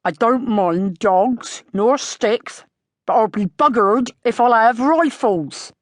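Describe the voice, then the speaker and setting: A woman narrator plays a squat, older countryman in an audiobook story. He is gruff and hostile, cursing, and pushes his words out loudly in a dry, close recording. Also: fairly high pitch, no room sound, pitched above the performer's own voice. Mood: hostile, forceful. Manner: gruff rustic talk, slow and hostile, cursing. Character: surly, hostile, short squat older male farmer.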